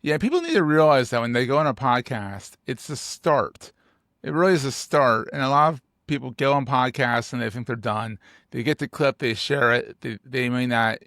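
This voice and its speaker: This is a man from Philadelphia hosting a podcast, chatting casually with a guest. His voice has a gravelly edge, and the sound is dry and close. He talks in an animated way, cracks jokes, and repeats words for emphasis. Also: mid-range voice, no echo. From United States. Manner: animated, joking, emphatic repetitions. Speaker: podcast host. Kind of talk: casual interview chat.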